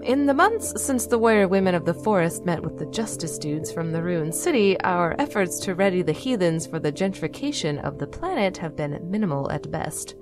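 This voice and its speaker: Woman as android nun, exasperated, wry, articulate, slightly low voice, audio drama monologue. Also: animated, moderate pace, few pauses, over music, lightly acted. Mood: dry, exasperated. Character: weary, exasperated female android nun broadcaster.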